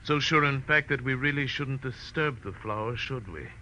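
An actor playing a huge, possessive, hot-tempered islander, theatrical and threatening, in 1940s radio style. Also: male voice, medium pitch, moderate pace, pushed and bright, natural voice. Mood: brooding, threatening. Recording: noisy old radio broadcast.

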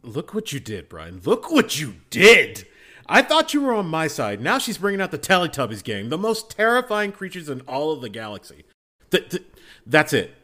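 A man's voice: a little high, wildly swooping pitch, moderate pace, sharp tone. A man chatting casually as a podcast guest, emphatic and stammering in mock exasperation. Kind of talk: casual bantering chat.

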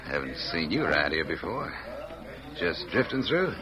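An actor playing a rough, eager outlaw henchman in an old radio western, talking in folksy cowboy slang. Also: sits low, very animated, normal speed, lo-fi sound. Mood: sly, friendly. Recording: old radio recording, saloon chatter.

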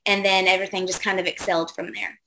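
A woman's voice: medium pitch, little pitch movement, fast talker, fairly bright tone, slight background noise, rough recording. A woman in her thirties, a guest on a casual call-in show, chatting loosely with lots of ums and likes. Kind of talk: casual interview answers.

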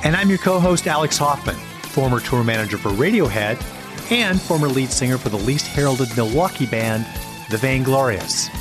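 A man's voice: mid-range voice, animated, normal speed, over music. A middle-aged American man co-hosting a podcast with casual banter and dry, teasing sarcasm. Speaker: podcast co-host.

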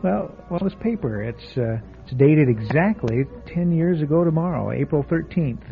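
A jittery stammering reporter, tinny radio. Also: male voice, on the high side, animated, moderate pace, gravelly. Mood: uneasy. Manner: hesitant, stammering with uneasy fillers. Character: nervous young male traveling reporter, jittery. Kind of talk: old-time radio drama acting. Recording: phone-like old radio broadcast with faint music.

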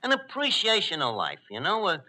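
An actor playing a brash, chummy, uneducated New York tavern manager in a 1940s radio comedy, with slangy, hesitant bluster and mangled words. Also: male voice, sits high, wildly swooping pitch, fairly quick, pushed and bright, full character voice. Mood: chummy, self-important. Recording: noisy old 1940s radio broadcast recording.

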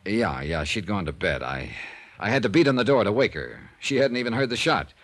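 An actor playing a brisk, wry freelance insurance investigator who narrates and talks in a dry, clipped way, in a 1950s radio drama. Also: male voice, lowish voice, very animated, a bit bright, no echo. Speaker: narrator and lead.

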